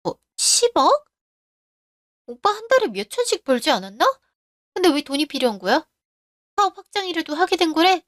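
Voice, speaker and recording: A woman voicing a selfish, sharp-tongued younger sister and young mother in a narrated radio story. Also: extremely high pitch, sing-song, moderate pace, long pauses, no echo, studio quality, voice pushed up, full character voice. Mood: prying.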